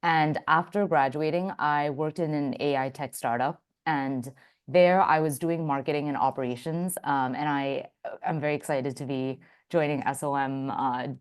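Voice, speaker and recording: Young woman from vietnam on a student panel, pretty low voice, really clear tone. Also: steady tone, normal speed. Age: young adult. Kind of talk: introducing herself on a panel.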